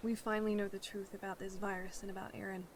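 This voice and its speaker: A woman's voice: mid-range voice, flat delivery, fast, a little hiss or hum, lightly acted. A woman playing a weary, earnest young survivor in an anime fan audio drama. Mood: grave.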